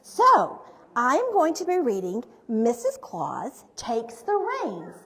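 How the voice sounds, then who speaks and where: A woman in the role of a storyteller, gently and encouragingly addressing children during a read-aloud. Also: on the high side, huge pitch swings, slow pace. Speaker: storyteller playing Mrs. Claus. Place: large bright indoor room, seated before children. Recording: reverberant hall, recorded live.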